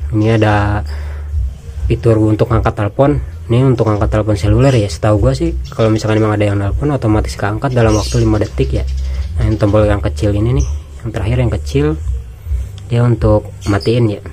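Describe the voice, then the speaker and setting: A man's voice: lowish voice, steady tone, quick pace, dark tone. A man gives a casual, informal product walkthrough, explaining step by step and repeating phrases. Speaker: product reviewer and seller.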